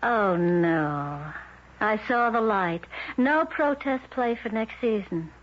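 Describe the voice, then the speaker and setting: An actress in an old-time radio drama playing an uneasy, restless wife who chafes at conformity. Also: female voice, mid-range voice, animated, slow. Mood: nervous. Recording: muffled, noisy old radio recording.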